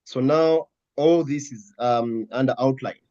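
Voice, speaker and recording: Male interviewer, mid pitch, soft dark tone. Casual and hesitant, checking his understanding, on a slightly dull recording. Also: measured pace. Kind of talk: casual conversation.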